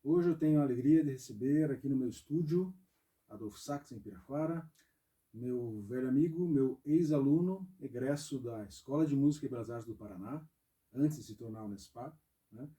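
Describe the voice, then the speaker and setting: A man hosting an interview in a music studio, speaking in a measured way. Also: mid-range voice, warm tone, far from the mic. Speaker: interview host, music professor.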